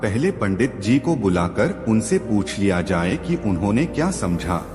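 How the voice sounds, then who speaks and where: A man putting on a witty courtier who answers with polite, innocent-sounding cunning. The low voice moves through a wide, lively range. It echoes through a long hall reverb, with music underneath. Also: unhurried. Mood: sly. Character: witty, sly male royal courtier.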